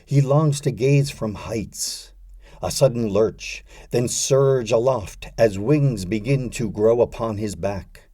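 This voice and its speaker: A man narrating a poetry audiobook, reciting scripted verse in a measured, deliberate way. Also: mid-range voice, warm tone, no echo, studio quality.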